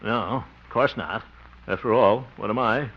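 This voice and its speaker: An actor in an old radio comedy playing an insecure, exasperated young amateur play director with an American accent. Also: male voice, on the low side, measured pace. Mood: dejected. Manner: self-pitying asides, exasperated outbursts. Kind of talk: 1940s-50s radio comedy acting. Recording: dull, noisy old-time radio recording.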